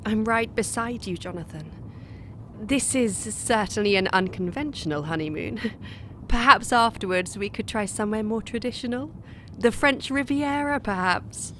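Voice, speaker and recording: A woman plays a steadfast young wife in a gothic radio play. Her medium-pitched voice is soft, caring and composed. Clear noise sits behind her. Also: normal speed, close to an everyday voice. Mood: tender. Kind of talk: gothic audio drama acting. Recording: noticeable background noise.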